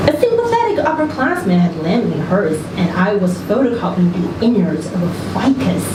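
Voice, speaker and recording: A woman reading a scripted first-person story at a live event, with measured, dramatic phrasing, deliberate pauses and a wry delivery. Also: high-pitched. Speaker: reader at a live literary event. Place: indoor event venue, live before an audience. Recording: roomy, distant live recording, loud room noise.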